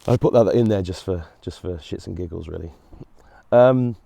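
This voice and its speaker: Low, mellow-voiced man musing to camera. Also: moderate pace, gravelly, no echo. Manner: rambling, earnest, with frequent restarts and fillers. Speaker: host. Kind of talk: solo monologue to camera.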